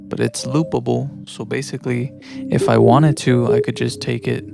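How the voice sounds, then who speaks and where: A man's voice: medium pitch, fairly even intonation, normal speed, creaky. A man from Mexico living in the United States chats casually and thinks aloud in a rambling, self-reflective way while producing music on a livestream. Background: electronic music.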